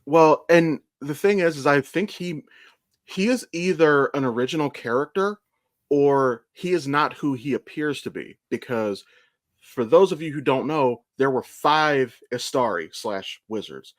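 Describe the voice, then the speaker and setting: A man's voice: a little high, expressive, a little slow, no room sound, clean studio sound. A man co-hosting a casual podcast, explaining his opinions as a fan with the odd swear word. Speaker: podcast co-host. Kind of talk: casual chat, opinionated pop-culture commentary.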